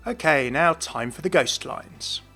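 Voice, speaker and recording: A man's voice: on the high side, unhurried, pushed and bright. A man giving scripted, explanatory commentary as the host of a gaming video. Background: background music.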